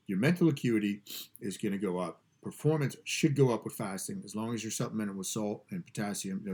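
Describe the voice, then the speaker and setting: Stuffed-up, nasal man talking low. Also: darkish tone. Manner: emphatic, repeats key phrases, frequent restarts. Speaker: podcast host and fasting coach. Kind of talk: casual motivational talk. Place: home garage studio.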